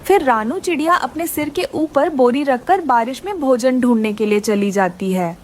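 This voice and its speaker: A woman's voice: sits high, moderate pace, a little mellow. A woman narrating a scripted children's story.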